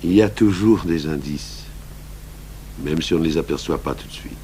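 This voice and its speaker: An actor playing a calm, shrewd middle-aged police commissioner in a radio crime drama, speaking in a measured, deliberate, probing way. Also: male voice, extremely low pitch. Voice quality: gravelly. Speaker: lead detective and first-person narrator. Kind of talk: 1980s French radio crime drama acting. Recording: old radio broadcast recording, faint hum and hiss.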